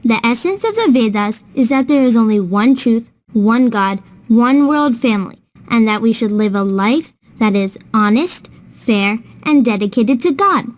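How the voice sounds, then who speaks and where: Woman as high-voiced, patient big sister. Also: expressive, a little slow, darkish tone. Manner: patient, clear explaining. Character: patient, kindly, knowledgeable older sister teaching a child. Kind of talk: scripted children's educational storytelling.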